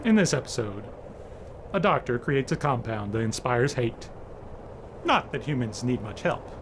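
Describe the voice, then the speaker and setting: A man's voice: medium pitch, lively intonation, normal speed. Man narrating over noticeable noise. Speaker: host introducing a classic radio drama. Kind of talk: scripted narration, horror anthology introduction.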